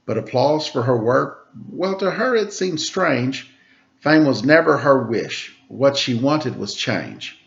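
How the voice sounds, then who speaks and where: A man teaching children, reading a rhyming picture book aloud with a steady rhythm, then chatting casually about it. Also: medium pitch, takes it slowly, vocal fry, dull audio. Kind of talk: storybook read-aloud for children.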